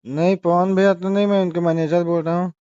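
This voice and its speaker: A man's voice: high voice, little pitch movement, a little fast, dark tone, clean tone, no echo. A man with a Bhojpuri-influenced eastern Indian Hindi accent, arguing on the phone in an exasperated way as a manager. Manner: exasperated, argumentative. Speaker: celebrity's manager taking a phone call. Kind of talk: heated phone argument. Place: phone call. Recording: dull lo-fi phone call recording.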